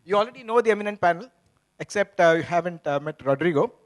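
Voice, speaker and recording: A man moderates a conference panel with a notably high voice. He speaks in a measured way and pauses often. The sound comes from a handheld microphone on stage. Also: animated.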